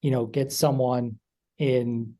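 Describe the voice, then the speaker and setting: A man being interviewed as an author, explaining things in a measured way. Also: medium pitch, steady tone, warm tone. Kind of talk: interview answers.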